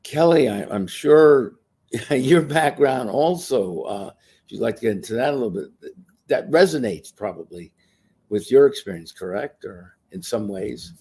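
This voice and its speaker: A man's voice: mid-range voice, expressive, normal speed, darkish tone, slightly rough. A man hosting an interview, affirming his guests and asking questions in a hesitant, searching way. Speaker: show host.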